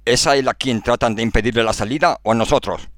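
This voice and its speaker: A man playing a gruff, irritable freighter captain in a radio drama, brusque, coarse and contemptuous. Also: medium pitch, fast, a bit bright, no echo, studio quality, pitched above the performer's own voice, natural voice. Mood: irritated contempt. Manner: brusque, scornful.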